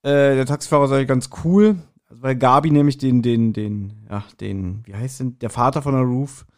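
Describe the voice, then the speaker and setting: A man co-hosting a podcast, chatting casually and retelling stories in a colloquial, somewhat halting way. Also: mid-range voice. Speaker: podcast co-host. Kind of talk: casual podcast chat, reviewing audio dramas.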